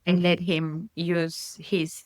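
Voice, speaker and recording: A woman's voice: fairly low pitch, little pitch movement, takes it slowly, a little mellow, dry recording, studio quality. A woman from Colombia with a Colombian Spanish accent, a native Spanish speaker talking reflectively as a guest parent, with many restarts. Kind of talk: casual conversation.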